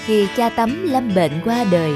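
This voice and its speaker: A woman storyteller giving scripted, measured fairy-tale narration. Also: a little high, lively intonation, over music.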